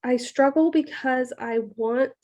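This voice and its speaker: A woman's voice: sits high, measured pace, darkish tone, lo-fi sound. A woman from California, a podcast guest talking openly in a casual interview, hesitant, with many fillers and frequent laughter. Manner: hesitant, full of "like" and "um", laughing often. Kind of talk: candid casual interview talk.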